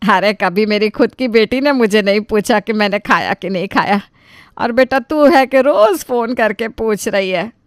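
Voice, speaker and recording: A woman's voice: medium pitch, normal speed, clear, resonant tone, no room sound, studio quality, natural voice. Gushing, doting middle-aged auntie played by a woman. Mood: touched. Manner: gushing, exclamatory, affectionate. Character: doting, impressed older female in-law, gushing with praise. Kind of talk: animated Hindi family story dialogue.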